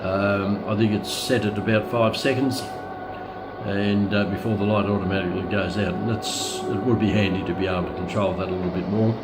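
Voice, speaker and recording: A man giving a casual, unscripted product review as a hobbyist, hesitant and often pausing mid-sentence, with radio audio playing behind him. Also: on the low side, steady tone, roomy sound, very noisy.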